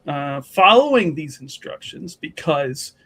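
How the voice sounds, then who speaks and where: A man playing a tabletop roleplaying game, narrating his character's actions casually in halting, wordy improvised sentences that often restart. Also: a little high, big pitch swings, a bit bright.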